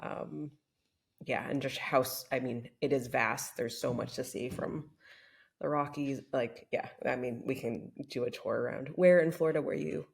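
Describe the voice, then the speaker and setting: A woman's voice: a bass voice, normal speed, vocal fry. A woman, a podcast guest, speaking thoughtfully and casually with fillers. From United States.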